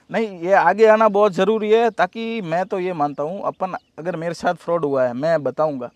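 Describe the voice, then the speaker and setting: A man's voice: high voice, normal speed, no echo, lo-fi sound. A man giving earnest, agreeable advice as a guest in casual conversation, repeating phrases for emphasis. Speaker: interview guest.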